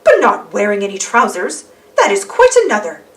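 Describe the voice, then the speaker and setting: A woman voicing a stern, dry male schoolteacher in a storybook, measured and disapproving. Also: medium pitch, huge pitch swings, far from the mic.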